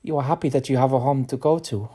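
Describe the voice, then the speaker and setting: An actor playing a gentle, reserved older professor in an audio drama, with formal, careful phrasing. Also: male voice, mid-range voice, fairly even intonation, fast, lightly acted. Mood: hesitant, tender.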